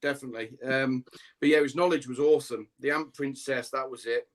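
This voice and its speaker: A man's voice: medium pitch, a little fast, a crowd in the background. A man chatting casually about ant keeping, rambling a bit with plenty of "um"s and "uh"s. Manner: rambling, relaxed, many "um"s and fillers. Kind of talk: casual chat.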